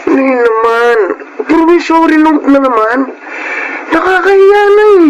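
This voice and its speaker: An actor playing a proud, resentful young son of poor farmers in a Filipino radio drama, with an emotional delivery. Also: male voice, very high-pitched, lively intonation, measured pace, highs cut off, a little hiss or hum. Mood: defiant, frustrated. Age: young adult. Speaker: lead actor and first-person narrator. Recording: prominent music bed. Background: music.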